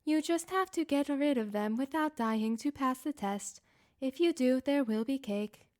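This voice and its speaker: A woman voicing a cold, sarcastic female AI who runs a testing facility, speaking slowly and condescendingly. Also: high-pitched, a little mellow, pitched above the performer's own voice. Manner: slow, measured, condescending.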